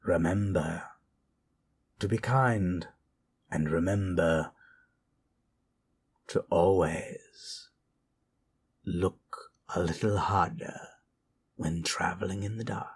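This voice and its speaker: A man playing a gentle, brooding teller of spooky tales in a slow, hushed, dramatic manner over music. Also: deep voice, slightly rough, exaggerated voice. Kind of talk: in-character horror storytelling.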